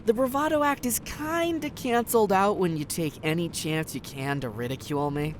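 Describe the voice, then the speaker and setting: A woman plays a resilient teenage schoolboy in an audio drama. The voice is quite low, polite and held back. There is a faint noise underneath. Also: normal speed, close to an everyday voice. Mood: calmly defiant. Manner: restrained, polite.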